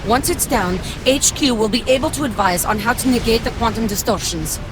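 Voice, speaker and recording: A woman's voice: a little high, a little fast, over loud music, close to an everyday voice, poor audio. A woman playing a disciplined military mech commander in a video game, giving formal, clipped radio reports. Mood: businesslike, focused.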